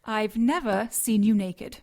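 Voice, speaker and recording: A woman playing a prim, flustered caretaker in an audio drama, brisk and defensive in manner. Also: mid-range voice, darkish tone.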